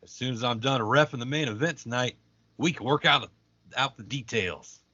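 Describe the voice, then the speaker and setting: A man's voice: mid-range voice, normal speed, bright, forceful tone, no room sound, lo-fi sound. A man acting in a comedic audio play as a petulant, self-important wrestling referee with a melodramatic manner. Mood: aggrieved. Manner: indignant, melodramatic.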